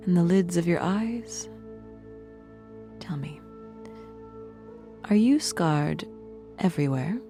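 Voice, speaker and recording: A woman playing a sultry, fanged fiend-blooded courtesan in an audio drama, flirtatious, coy and teasing, with breathy whispered phrase endings. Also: lowish voice, mellow. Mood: coy, curious. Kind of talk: audio drama character acting.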